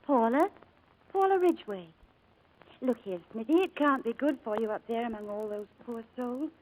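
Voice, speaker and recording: A woman's voice: on the high side, lively intonation, moderate pace, narrow, tinny audio, slight background noise, natural voice. An actress in a 1940s-style radio drama playing a kind, chatty young showgirl, coaxing and protective. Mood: tender, encouraging. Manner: bubbly, coaxing, gently reassuring. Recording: old radio broadcast recording.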